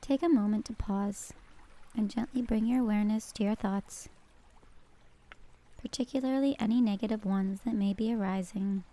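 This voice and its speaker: A woman narrating a scripted guided meditation in a calm, gentle, measured manner. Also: mid-range voice.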